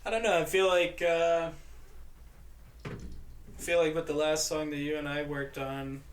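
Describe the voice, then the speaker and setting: A young man guest on a podcast, talking in a laid-back, slangy, low-key way in a home studio. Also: little pitch movement, measured pace, echoey room. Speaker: guest musician. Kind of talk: casual chat.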